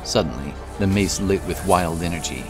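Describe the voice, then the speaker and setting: A man's voice: sits low, normal speed. A man reading scripted fantasy narration in a dramatic storytelling style. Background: prominent music.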